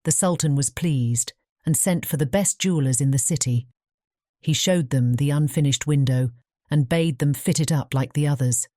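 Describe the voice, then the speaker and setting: A woman narrating a scripted fairy tale as an audiobook, in a measured storytelling manner. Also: sits low, dark tone, dry recording, studio quality.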